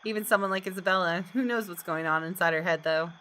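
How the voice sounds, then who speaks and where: A woman's voice: on the low side, quick pace, a bit bright, slight background noise. A woman hosting a gaming video, reading visual novel narration aloud with dramatic expression.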